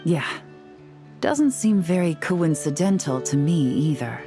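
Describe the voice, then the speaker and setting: A shrewd female game agent in a low register. She is composed, knowing and deliberate, and music is clearly audible behind her. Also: very animated. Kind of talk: video game voice acting.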